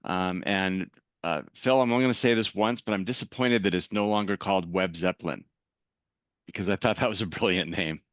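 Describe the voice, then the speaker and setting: A man's voice: low voice, brisk, a bit bright, no room sound, dull audio. A man hosting an online meetup, introducing speakers and making announcements in a casual manner with many fillers. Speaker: meetup host. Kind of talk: event announcements. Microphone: video call.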